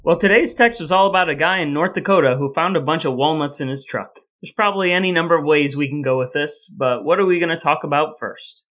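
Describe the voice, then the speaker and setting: A man's voice: fairly high pitch, fast, few pauses, a bit bright. A man hosting a podcast, moving between scripted introductions and casual banter. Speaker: podcast host.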